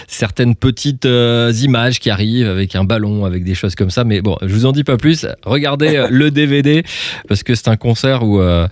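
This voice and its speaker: A man hosts an informal radio interview in a mid-pitched voice. The sound is dry and close to the microphone. His questions ramble, starting and restarting before they land. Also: darkish tone, dry recording.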